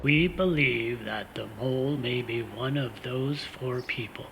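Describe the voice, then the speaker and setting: A man's voice: mid-range voice, a little slow, gravelly, music in the background. A man playing a spy in a comedic radio play, on a noisy recording. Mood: stern, impatient. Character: comic pair of male spies, one stern, one dim.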